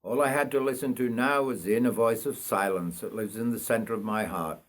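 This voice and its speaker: An older man from North Yorkshire reads his own memoir aloud in a measured, reflective storytelling manner. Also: lowish voice. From North Yorkshire, England. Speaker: writer reading own memoir. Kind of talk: scripted autobiographical storytelling.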